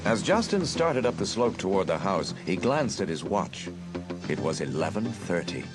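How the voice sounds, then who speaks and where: A man's voice: deep voice, big pitch swings, normal speed. A man narrating a dramatised audiobook from a script, telling the story dramatically. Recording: music playing behind narration. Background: music.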